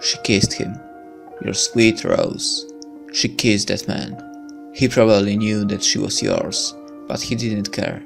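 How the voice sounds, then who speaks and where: A man's voice: lowish voice, wildly swooping pitch, takes it slowly, gravelly, over music. A man reading a visual novel aloud as narration, breaking into casual commentary as a let's player.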